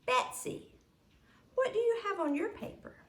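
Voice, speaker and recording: Woman playing a prim Sunday school teacher, formal, polite, swooping pitch, slow, roomy. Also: sing-song, exaggerated voice. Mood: bright, presenting.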